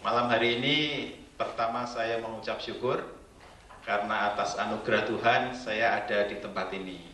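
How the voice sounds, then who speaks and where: A man's voice: lowish voice, fairly even intonation, normal speed, bright, forceful tone, a little hiss or hum. A middle-aged man preaching a sermon to a congregation, joking playfully with them. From Indonesia. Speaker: guest preacher. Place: church hall. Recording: roomy church sound from a distant mic.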